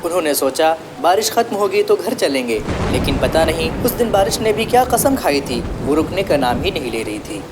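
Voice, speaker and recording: A woman's voice: deep voice, big pitch swings, moderate pace, few pauses, background noise. A woman narrating a scripted children's story as a storyteller.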